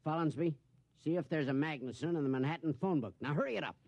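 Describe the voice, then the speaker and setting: An actor playing a harried, earnest company official with a formal manner in a 1950s radio drama. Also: male voice, a little high, quick pace, lo-fi sound, very noisy, lightly acted. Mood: exasperated, urgent. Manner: urgent, exasperated, formal.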